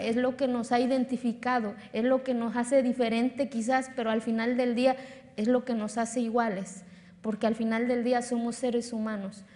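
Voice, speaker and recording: Woman, 30s, Mexican accent, Zapotec native, public speech, emphatic, flat intonation, hall reverb. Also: on the high side. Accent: Mexican Spanish. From Oaxaca, Mexico. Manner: earnest, emphatic, repeats phrases for force. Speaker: speaker at a talk. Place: large hall on a lit stage before an audience.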